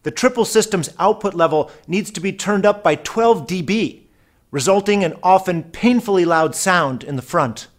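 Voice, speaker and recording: Male narrator, slightly high voice, measured and precise delivery for an instructional product video. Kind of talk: scripted narration.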